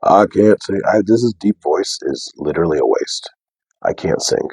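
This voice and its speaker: Deep, soft-spoken man chatting live. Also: lively intonation, normal speed, breathy edge, dry recording. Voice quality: deep bass voice. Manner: soft, unprojected speech. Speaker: livestream host. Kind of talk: casual live chat with viewers. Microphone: wearing a mic.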